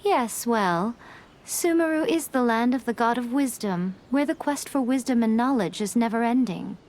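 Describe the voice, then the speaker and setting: A woman's voice: fairly high pitch, expressive, moderate pace, darkish tone, slight background noise. A woman voicing a sly, playful fox-spirit woman in a game, with a poised, teasing way of speaking. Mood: calm. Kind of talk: game dialogue.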